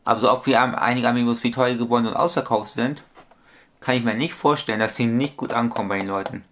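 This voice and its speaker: Thirties man, at-home webcam vlogger, rambling and repetitive, average pitch. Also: fairly even intonation, fast talker, fairly bright tone. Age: 30s. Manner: rambling, repetitive, corrects his own sums aloud. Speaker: toy collector vlogger. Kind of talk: casual vlog monologue. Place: small room at home with shelves.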